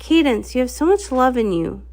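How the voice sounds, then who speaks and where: A woman's voice: high-pitched, sing-song, normal speed, a little mellow. A woman voicing a protective, caring adult village pony in a storybook, speaking in an earnest, explanatory manner. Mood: grave worry.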